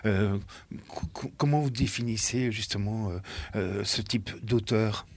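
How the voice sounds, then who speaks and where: A man asking interview questions in a halting, stammering way. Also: fairly low pitch, dry recording.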